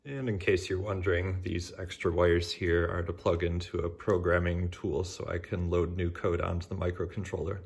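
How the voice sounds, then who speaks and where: A man's voice: low-pitched, little pitch movement, moderate pace, vocal fry, hardly any pauses. A man explaining his own electronics project in a plain, matter-of-fact way, recorded indoors at home by a camera. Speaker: hobbyist maker showing his project. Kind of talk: casual tech demo narration. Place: indoors at home, over a wooden table.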